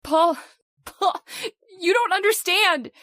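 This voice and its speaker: A woman's voice: extremely high pitch, slow, a bit bright, dry recording, studio quality, exaggerated voice. A woman playing a distraught, pleading wife in old-time radio horror, earnest, fretful and melodramatic. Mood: urgent. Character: distraught, pleading wife convinced of a witch's revenge.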